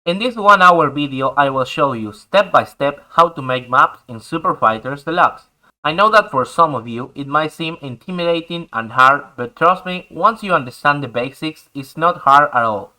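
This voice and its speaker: A man's voice: on the high side, lively intonation, normal speed, pushed and bright, rough recording. A young man whose first language is Spanish, patiently explaining a game map editor step by step in English. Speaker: tutorial host. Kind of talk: screen-recorded game tutorial.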